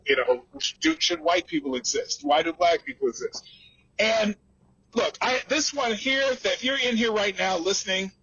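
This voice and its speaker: Guy rambling on a tinny earbud call from his car, kinda husky, higher voice, dry. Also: pushed and bright, dry recording. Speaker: podcast host heard in a recorded audio chat. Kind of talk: casual commentary. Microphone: wireless earphones.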